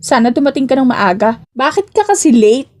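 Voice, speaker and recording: A woman's voice: high voice, a little fast. Woman doing a sassy, gossipy office friend. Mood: sassy teasing. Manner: nosy, sassy, excitable. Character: gossipy, cheeky office friends teasing a coworker. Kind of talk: romance audiobook dialogue.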